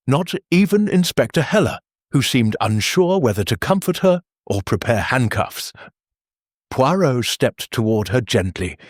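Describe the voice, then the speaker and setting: Male audiobook narrator, murder mystery. Suspenseful, theatrical delivery, slightly rough tone, lively pitch. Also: dry recording, clean studio sound.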